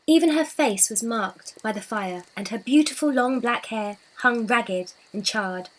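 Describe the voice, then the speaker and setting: Woman storyteller, fairly high voice, expressive scripted reading, dramatic, deliberate. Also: poor audio. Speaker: children's storybook reader.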